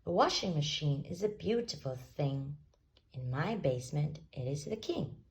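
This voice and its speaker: A woman's voice: low-pitched, lively intonation, moderate pace, a little mellow. A woman voicing a cheerful, forgetful young male pig poet from a children's storybook, earnest and exclamatory, sing-song when reciting. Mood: proud reciting. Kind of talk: children's storybook character reading.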